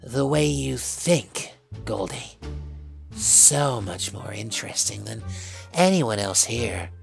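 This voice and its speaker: A woman acts out a sardonic male skeleton villain for a fan fiction audio story. The voice sits extremely low and creaky, with wide, teasing swings. Every line comes as a languid, flirtatious drawl. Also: expressive, slow, soft background music, exaggerated voice. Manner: drawling, sarcastic, languid delivery.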